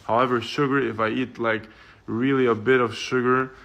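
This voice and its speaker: A man's voice: medium pitch, flat delivery, normal speed, vocal fry, a bit bright. A man talking casually and directly to viewers, giving skincare advice like a coach.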